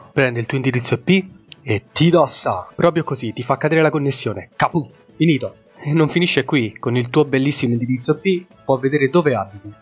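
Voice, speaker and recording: A man with a rough, husky voice delivers a scripted sponsor message. He is tongue-in-cheek and mock-dramatic, like a comedic narrator. Some music plays behind him. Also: mid-range voice. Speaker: YouTube host reading a sponsor ad. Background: background music.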